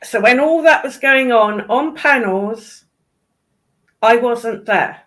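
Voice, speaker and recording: A woman's voice: on the high side, slow, pushed and bright. A woman with a British accent chatting casually in a blunt, sweary, emphatic way. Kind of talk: casual chat.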